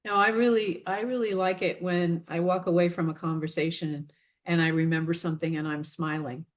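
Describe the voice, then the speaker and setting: A woman co-presents a webinar, explaining her points in a measured, earnest way. Her voice sits on the lower side for a woman. She slows down to lean on the key words she wants to land. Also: fairly even intonation. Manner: measured, earnest, deliberate emphasis. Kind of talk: instructive webinar talk.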